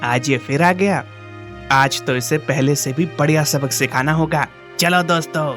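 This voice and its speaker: A man's voice: on the high side, measured pace, over music, lightly acted. A man voicing a mean, swaggering young bully in a children's cartoon story. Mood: menacing glee. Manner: taunting, forceful.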